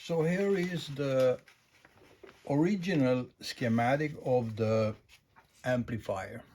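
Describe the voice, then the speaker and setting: A man with an average-pitched voice explains audio equipment in an informal, unscripted way. He is a non-native English speaker and talks slowly, pausing often as he searches for the next phrase. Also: darkish tone, slight background noise. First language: not English. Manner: halting, unscripted, with long hesitations.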